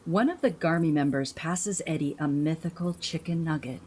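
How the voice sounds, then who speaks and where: A woman's voice: deep voice, moderate pace, a little mellow, slight background noise. A woman reading scripted narration for a radio play. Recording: music behind the voice.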